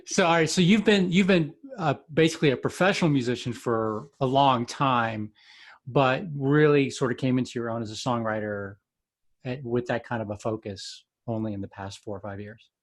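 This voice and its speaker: A man's voice: medium pitch, moderate pace. Rambling male podcast host. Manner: friendly, rambling, many fillers and restarts. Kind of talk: casual interview hosting. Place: Florida.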